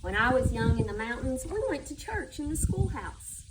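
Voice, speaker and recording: A woman's voice: high voice, big pitch swings, normal speed. Distant woman reading a storybook. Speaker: school superintendent reading a children's book. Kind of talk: read-aloud children's storytelling. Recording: distant microphone with background noise.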